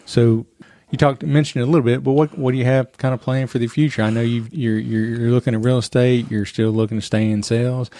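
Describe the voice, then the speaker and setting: Flat, mellow-voiced male podcast host. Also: medium pitch, fast. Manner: encouraging, relaxed conversational. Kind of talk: casual interview talk.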